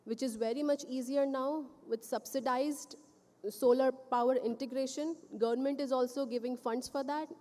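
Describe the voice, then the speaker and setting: A woman's voice: high voice, steady tone, normal speed, mellow, in a large hall. A woman giving a plain, matter-of-fact presentation at a conference.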